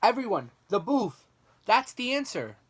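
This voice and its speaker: A man's voice: high voice, big pitch swings, a little slow, a bit bright, slight background noise, exaggerated voice. A young man acting an earnest member of a 1940s radio station family in a staged play. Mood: urgent. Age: teenager or young adult. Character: earnest heir at a struggling 1940 radio station.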